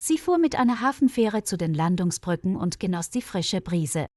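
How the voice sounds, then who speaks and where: A woman reading a scripted audio story for language learners in a measured, clearly phrased storytelling manner. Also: on the low side, very animated, darkish tone, no echo, studio quality. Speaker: narrator of German-learning stories.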